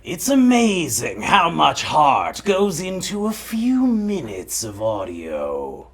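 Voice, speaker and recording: Man playing an evil villain, slow menacing drawl, wildly swooping pitch, show outro. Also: huge pitch swings, a bit bright, full character voice.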